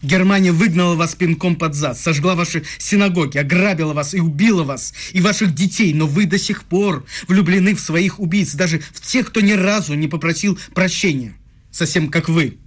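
An actor plays a bitter man from a radio drama, pitched a little high. He accuses in pointed, insistent phrases, pressing each point rhetorically. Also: normal speed, natural voice. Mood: bitter, mocking. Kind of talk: radio play acting.

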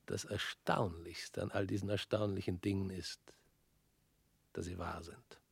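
Brooding ideologue, hushed and then declaiming, radio drama, close dry mic. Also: male voice, lowish voice, breathy edge, no echo, heavily acted. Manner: intense, shifting between hushed whispers and raised declamation. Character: former interrogator turned self-declared criminal, man, intense.

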